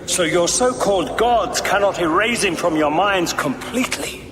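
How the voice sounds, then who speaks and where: An actor playing a grandiose, zealous cult leader preaching dark prophecy in a pompous, measured, oratorical way for a fantasy game. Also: male voice, high voice, lively intonation, crowd noise. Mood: grandiose, insistent. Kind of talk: theatrical fantasy game monologue. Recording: echoey hall reverb, music behind.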